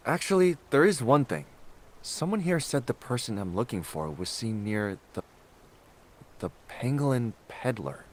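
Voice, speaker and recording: A man's voice: medium pitch, very animated, measured pace, slight background noise. A man playing a young traveler in a video game, a junior disciple who is wry but sincere. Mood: hopeful. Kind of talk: video game character acting. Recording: loud music behind the voice.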